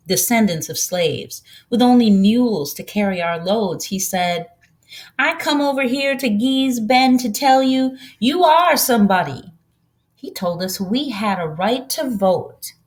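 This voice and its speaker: Woman voicing a kindly old farm woman, animated lilt. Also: mid-range voice, voice pushed up, natural voice. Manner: folksy, unhurried storytelling.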